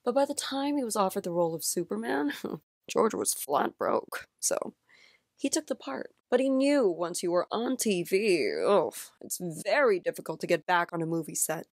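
An actress playing a confident, alluring and mysterious stage actress who comes as a client in an audio drama, poised and dramatic. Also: female voice, mid-range voice, expressive, normal speed, gravelly, no echo, clean studio sound. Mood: wistful, confiding.

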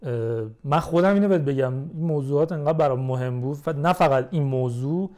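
A young man in casual conversation as a podcast guest, explaining things in a measured way. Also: on the high side, a little mellow.

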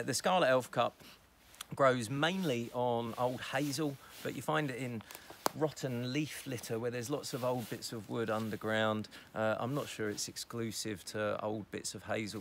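A man in his forties, a foraging teacher from the United Kingdom, explaining wild mushrooms outdoors in a woodland in a relaxed, chatty way with fillers. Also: fairly low pitch, creaky, slight background noise. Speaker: foraging instructor.

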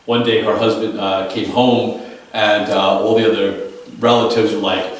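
Low-voiced priest in an echoey chapel. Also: male voice, little pitch movement, normal speed, distant mic. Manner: conversational storytelling, fillers and restarts. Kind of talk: daily homily. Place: small chapel. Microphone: far camera.

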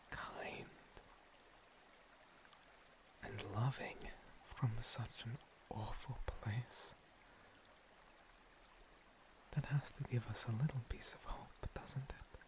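A man playing an ancient, gentle monster priest, a scarred former paladin, in a breathy, halting, soothing ASMR roleplay whisper. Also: mid-range voice, lightly acted. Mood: gentle, reassuring. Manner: slow, soothing, halting pauses. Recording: water streaming and pouring behind. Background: running water.

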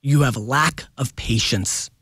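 A man's voice: mid-range voice, slow pace, no echo. Emphatic forty-year-old man, dry studio sound. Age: 40s. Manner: emphatic and animated, keeps asking "right?". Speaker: guest. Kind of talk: casual radio interview. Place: radio studio. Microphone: studio microphone up close.

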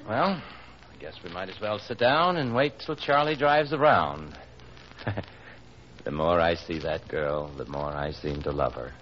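Low-voiced smooth sinister uncle, old radio. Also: male voice, a little slow. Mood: solicitous. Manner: genial, smooth-talking, theatrical. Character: charming, sinister uncle hiding murderous secret, smooth. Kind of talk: 1940s radio drama acting. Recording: noisy muffled 1940s radio broadcast.

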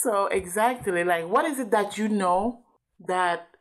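A woman's voice: mid-range voice, unhurried. A woman reading aloud to a child as a parent, explaining things and checking understanding with questions.

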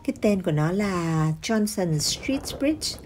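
A woman's voice: fairly low pitch, expressive, measured pace, loud music behind the voice. A woman vlogger chatting casually to her viewers as she walks a city harbor with her phone, dropping English words into her talk. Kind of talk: casual walking-tour narration. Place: outdoors by a city harbor, filming while walking.

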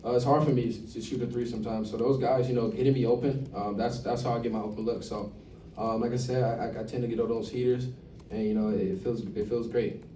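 A man's voice: mid-range voice, steady tone, fast, mellow. A young man, a college basketball player, answering questions at a postgame press conference, filling his sentences with "you know". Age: young adult. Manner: loose, repeats "you know" constantly. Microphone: table mic, recorded from across the room. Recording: echoey room, distant mic, faint hum.

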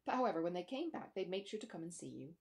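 A woman's voice: fairly low pitch, sing-song, very fast, darkish tone. A woman voicing a talkative, respectful rustic manservant with uneducated country grammar in a storybook reading. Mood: earnest, deferential. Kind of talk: storybook character dialogue.

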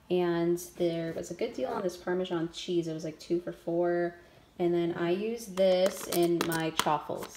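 A woman's voice: fairly low pitch, a little mellow. A woman in her thirties chatting casually through a keto food and grocery vlog in her home kitchen, upbeat and full of fillers. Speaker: keto vlogger.

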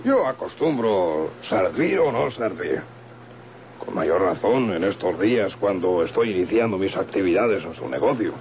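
A man's voice: deep voice, animated, normal speed. An actor playing a deferential older night watchman with a Mexican accent in an old radio soap, hesitant, formal and apologetic. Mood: hesitant, discreet. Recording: tinny, faintly noisy vintage radio recording.

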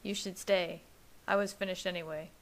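A woman's voice: fairly low pitch, steady tone, normal speed, natural voice. A woman voicing a tense young man who mutters his lines anxiously.

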